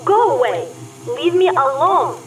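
A woman's voice: high voice, expressive, unhurried, soft background music. A woman playing a haughty, menacing Soviet spy ghost in an audio drama, stern and scornful, with a Russian accent. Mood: defiant. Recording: roomy, distant, faintly noisy.

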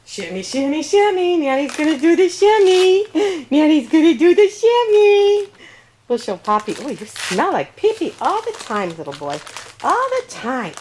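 A woman's voice: sits high, big pitch swings, moderate pace, a little mellow, a little hiss or hum. An older woman playing with a baby grandchild at home, cooing and chanting sing-song baby talk. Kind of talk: baby talk and singsong play. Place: bedroom in a home. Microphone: handheld home camera held by someone else.

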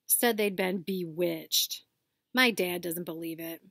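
A grumpy, sulky schoolboy, as a woman reader puts him on, grumbles in a dark tone close to her own. The recording is dry and close. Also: fairly low pitch, takes it slowly, dry recording, exaggerated voice. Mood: grumbling.

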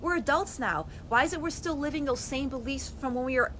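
A woman in her forties giving an earnest, animated motivational talk to a phone camera on a lawn outdoors. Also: sits high, brisk, a little hiss or hum. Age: 40s. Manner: earnest, animated, gestures with both hands. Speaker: life coach vlogger. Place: outdoors on a lawn by bare woods. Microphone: phone camera at arm's length.

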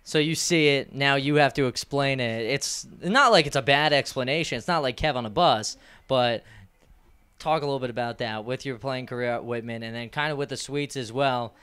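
A man's voice: mid-range voice, normal speed, a bit bright, smooth. A young man with a handheld mic, hosting a postgame sports interview with long, rambling, upbeat questions. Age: young adult. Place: small indoor press backdrop.

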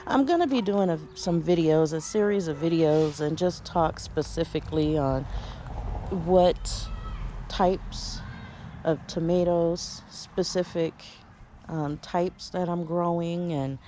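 Slow, mellow-voiced woman on a garden walk. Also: fairly low pitch, slight background noise. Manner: chatty and relaxed, many fillers and restarts. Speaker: home gardener giving a garden tour. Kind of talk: casual walking garden tour. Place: backyard garden outdoors.

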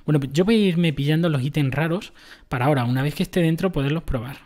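A man's voice: fairly high pitch, fast, a little mellow. A man giving casual commentary on a game, explaining items to his viewers. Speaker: gaming streamer.

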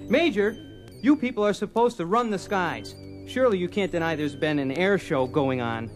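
An actor playing an earnest, obsessed husband, insistent and impassioned, in a dramatised story record. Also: male voice, high-pitched, lively intonation, moderate pace, soft background music. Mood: indignant, insistent. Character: obsessed, earnest ordinary husband demanding answers.

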